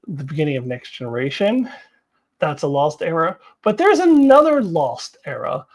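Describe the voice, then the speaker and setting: A woman's voice: deep voice, darkish tone. A woman hosting an online video, giving casual, unscripted commentary in a chatty, rambling way with bits of laughter in her talk. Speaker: YouTube host commenting on TV franchise news.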